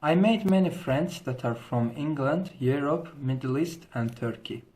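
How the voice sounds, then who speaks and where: A man's voice: fairly high pitch, lively intonation, a little slow, a little mellow. A young man with an Azerbaijani accent, giving a scripted informational presentation as a student host, with formal, careful phrasing. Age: young adult.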